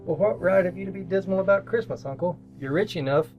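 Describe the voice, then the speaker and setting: Guy acting kindly cheery nephew in a radio play, earnest, lightish high voice, slightly lo-fi w music behind. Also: fast talker, close to an everyday voice. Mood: gently protesting. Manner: earnest, gently persuasive. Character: cheerful, kindly, good-natured nephew of a miser. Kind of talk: re-created old-time radio drama acting.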